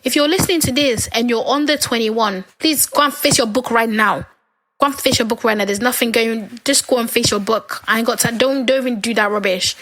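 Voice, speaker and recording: A young woman talks on her own podcast, her voice sitting fairly high. She is chatty and animated, leaping up in pitch when she acts out what others said. Her voice has gone slightly hoarse from talking so long. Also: fairly quick, low-quality recording. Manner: animated, chatty, acts out quoted lines with big pitch jumps. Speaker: podcast host. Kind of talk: casual solo storytelling.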